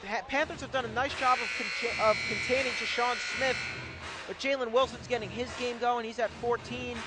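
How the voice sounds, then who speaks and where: High-voiced male basketball color commentator. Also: fairly even intonation, brisk, very noisy. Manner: conversational, stat-citing asides. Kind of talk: live sports analysis. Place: indoor basketball arena.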